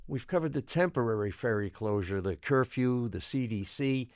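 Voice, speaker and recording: A male actor plays a harried island mayor addressing a public meeting. His pitch moves around animatedly as he stammers and pleads for calm. The drama recording is close and dry. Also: normal speed, clear, resonant tone, no room sound, natural voice. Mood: earnest, hesitant. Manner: placating, stammering, hesitant.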